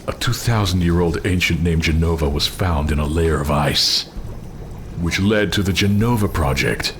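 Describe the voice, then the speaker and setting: An actor voicing a cold, haughty, menacing elite soldier in a video game, speaking gravely and deliberately with condescension. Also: male voice, sits low, a bit bright, slight background noise. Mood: cold contempt. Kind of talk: dramatic video game cutscene acting.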